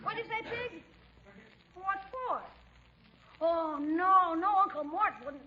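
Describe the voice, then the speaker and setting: A woman's voice: very high voice, animated, takes it slowly, full character voice. A woman playing a brash, wisecracking young boy in 1940s radio comedy style. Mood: breezy. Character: cheeky, argumentative young boy, wisecracking nephew. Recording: dull, noisy old radio recording, audience.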